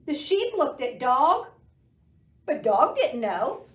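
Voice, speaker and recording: Middle-aged woman, storytime reader, slow pace, expressive lilt, slightly high voice, roomy distant recording. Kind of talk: expressive picture-book reading for young children. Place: indoor library room. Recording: roomy, voice away from microphone.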